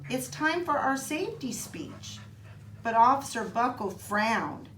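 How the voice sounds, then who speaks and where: A woman reading a children's picture book aloud in an expressive, child-directed way, with chatty asides to young listeners. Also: on the high side. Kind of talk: animated storybook read-aloud for children with casual asides. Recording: roomy echo, some background noise.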